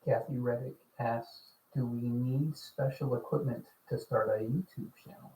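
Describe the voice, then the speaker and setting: A co-host reading viewer questions aloud in short, low-key remarks from off to the side. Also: fairly even intonation, a little slow, dark tone, poor audio.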